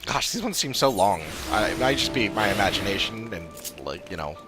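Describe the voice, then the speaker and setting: A man's voice: on the low side, animated, a little fast. Casual male streamer musing over game music. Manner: musing, hesitant, trailing off. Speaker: streamer playing a game and voicing its dialogue. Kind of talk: casual live-stream chat.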